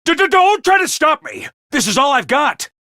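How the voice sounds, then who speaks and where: A man's voice: very high-pitched, big pitch swings, moderate pace, sharp tone, no room sound, shouting, clean studio sound, exaggerated voice. A man voicing a manic, mischievous male mascot commander in a game, talking in a hyper, theatrical and gleeful way. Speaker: game character voice lines. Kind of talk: game voice acting.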